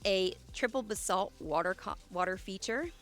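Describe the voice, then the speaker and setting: A woman in her forties, hoarse from a cold, chatting casually as she leads a tour of her garden outdoors. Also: mid-range voice, moderate pace. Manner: chatty, warm asides with fillers and restarts. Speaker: landscape designer and channel host. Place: outdoors in her own garden side yard. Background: soft music and running water.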